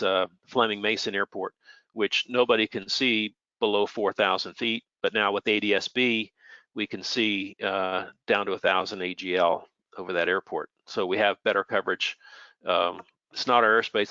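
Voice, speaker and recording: A man's voice: fairly low pitch, bright, forceful tone, no echo, highs cut off. A plainspoken, matter-of-fact man giving an informal technical explanation as an expert air traffic controller.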